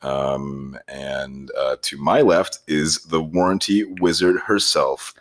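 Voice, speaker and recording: A man with an extremely deep voice co-hosts a casual podcast. His delivery is understated and a little hesitant. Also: little pitch movement, takes it slowly. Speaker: podcast co-host. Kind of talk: casual introduction chat.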